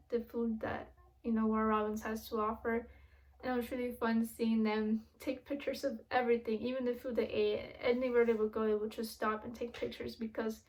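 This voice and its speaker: A woman's voice: on the high side, poor audio. A young woman who grew up in Miami, giving an earnest, casual video presentation with frequent restarts and fillers. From grew up in Miami, born in Ecuador.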